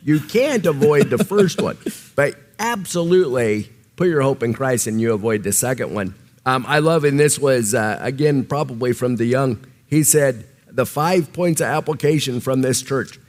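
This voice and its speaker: A man from Nebraska with a Midwestern American accent, teaching the Bible informally and thinking aloud in a loose, halting way. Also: mid-range voice, expressive. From Nebraska, United States.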